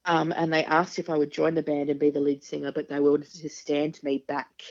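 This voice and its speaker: Deep gravelly woman. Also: flat delivery, darkish tone. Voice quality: deep speaking voice. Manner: frank, chatty, quick-witted, laughs often. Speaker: singer, guest. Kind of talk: casual interview chat. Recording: dull lo-fi podcast audio.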